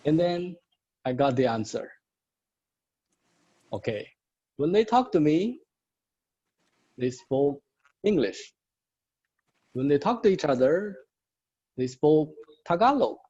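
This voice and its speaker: Guy telling his story real slow and plain, kinda highish voice, husky, muffled sound. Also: mellow. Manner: slow, deliberate, with simple plain phrasing. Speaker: prepared speaker. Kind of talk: prepared club speech, personal storytelling. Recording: muffled lo-fi audio.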